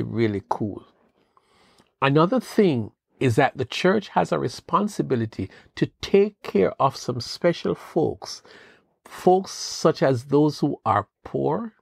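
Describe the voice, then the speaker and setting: Slow, husky-voiced man teaching scripture. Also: mid-range voice, dry recording, clean studio sound. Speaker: podcast host and Bible teacher. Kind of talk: scripted devotional talk.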